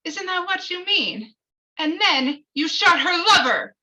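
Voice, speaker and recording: A woman playing a pressing, sarcastic courtroom prosecutor in a dramatic screenplay reading, deliberate and accusatory, on a lo-fi recording. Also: very high-pitched, expressive, bright, forceful tone, voice pushed up, exaggerated voice. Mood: sarcastic, accusing. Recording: dull, lo-fi recording.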